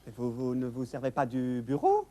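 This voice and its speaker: An actor playing a fussy, solicitous snob of a gentleman, formal and affected, in a children's television comedy. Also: medium pitch, wildly swooping pitch, moderate pace. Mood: puzzled, fussy.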